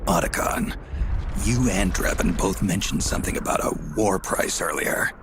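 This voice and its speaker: An actor playing a terse, wary, battle-worn soldier in a video game, speaking in a guarded way. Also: male voice, sits low, normal speed, noisy background. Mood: wary, questioning. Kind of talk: video game cutscene acting.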